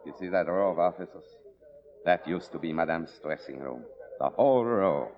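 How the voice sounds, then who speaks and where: An actor playing a formal, devoted manservant in an old radio drama, stately and deferential. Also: male voice, deep voice, normal speed, lo-fi sound, natural voice. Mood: proud. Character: solemn, devoted, formal manservant to a grand lady. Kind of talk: 1950s radio drama acting.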